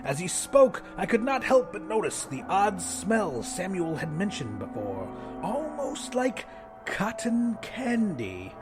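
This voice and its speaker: A man narrating first-person fiction in a dramatic, expressive, scripted audiobook style. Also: high-pitched, slow pace, over music.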